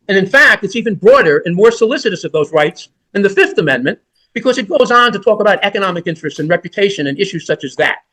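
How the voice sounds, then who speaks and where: A man's voice: high-pitched, fairly bright tone, poor audio. A man in his sixties, a defense lawyer, making an oral argument in court in an emphatic, rhetorical and repetitive way.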